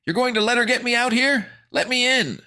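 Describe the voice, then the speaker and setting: Man voicing a shrill, frantic pleading boy. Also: fairly quick, smooth, heavily acted. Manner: frantic, whimpering, shouting. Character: desperate pleading boy, uncanny imposter outside a door.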